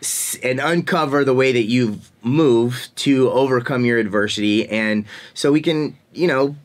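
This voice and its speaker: A man in his forties hosts a casual podcast chat in a mid-pitched voice. He rambles along, laughs easily and loses his thread now and then. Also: a little slow. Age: 40s. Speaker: podcast host.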